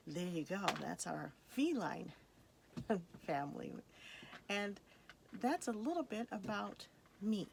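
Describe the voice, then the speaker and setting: A woman's voice: lowish voice, slight background noise. A woman in her thirties, born in Boston and raised in Texas, hosting a casual personal vlog in a chatty, upbeat way with frequent laughs. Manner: chatty, upbeat, laughs often between lines. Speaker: vlog host, crafter.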